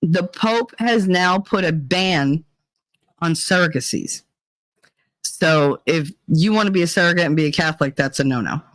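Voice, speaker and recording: A middle-aged woman with a deep, chesty voice, hosting a livestream in casual, profane chat while reading viewer comments. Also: normal speed, no room sound, low-quality recording. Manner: chatty and profane, rambling with many fillers. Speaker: livestream host.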